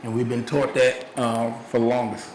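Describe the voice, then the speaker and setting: A man's voice: mid-range voice, level tone, normal speed, darkish tone. A man co-presenting a museum lecture, earnest and repeating phrases for stress. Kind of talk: public lecture with questions. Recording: echoey room, faint background noise.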